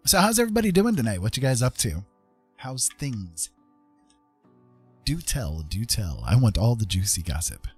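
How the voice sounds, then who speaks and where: Husky guy streaming digital art, chatty n goofy, kinda mumbles to himself, soft music behind. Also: mid-range voice, very animated, moderate pace, long pauses. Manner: playful, self-deprecating, chatty with viewers; muttering to himself while working. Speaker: host of an art livestream. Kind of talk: casual livestream chat while demonstrating digital art. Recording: soft background music under the voice.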